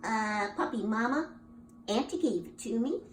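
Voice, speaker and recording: A woman's voice: on the high side, animated, normal speed, recorded from a distance, slight background noise, close to an everyday voice. Woman as a young boy opossum, storybook, dim, obedient, hesitant, roomy sound. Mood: meek, dim. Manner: hesitant, short answers opening with "uh". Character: simple, obedient young boy opossum, slow-witted and meek.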